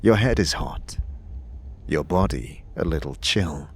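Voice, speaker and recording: A man narrating a fantasy audio drama as game master, in a measured, deliberate and dramatic storytelling delivery. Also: very deep voice, animated, gravelly, husky. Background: faint music.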